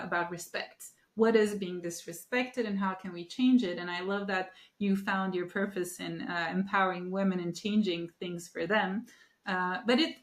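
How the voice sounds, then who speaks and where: Woman host in an echoey room. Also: mid-range voice. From Turkey. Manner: earnest, many fillers and rephrasings. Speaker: podcast host. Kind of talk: conversational interview.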